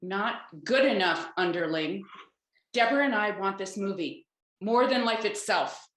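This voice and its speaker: A woman's voice: mid-range voice, animated, a little slow, a bit bright. A woman playing a demanding, menacing tech boss, curt and condescending, in a comedy table read on a video call. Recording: echoey room on video call.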